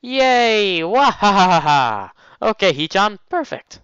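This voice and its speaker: A man voicing a loud, bubbly, excitable schoolgirl from a visual novel, with giddy exclamations. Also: high voice, takes it slowly, smooth, no echo, dull audio, voice pushed up, full character voice. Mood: gleeful.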